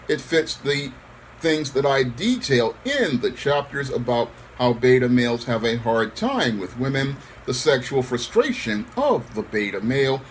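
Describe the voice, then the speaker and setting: A man's voice: medium pitch, slight background noise, poor audio. A man giving solo opinion commentary on news, speaking emphatically and repeating his phrases.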